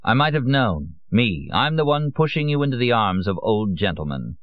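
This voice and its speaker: A man's voice: on the low side, big pitch swings, normal speed, no echo, narrow, tinny audio, pitched above the performer's own voice. Man playing a jealous, brooding man. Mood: tense. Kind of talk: audiobook character voice.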